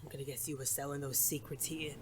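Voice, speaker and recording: An actor playing a gruff, defiant, world-weary detective in a noir audio drama, blunt and sardonic, with a husky rasp. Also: mid-range voice, fairly even intonation, fast, slight background noise, close to an everyday voice. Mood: wary, hostile.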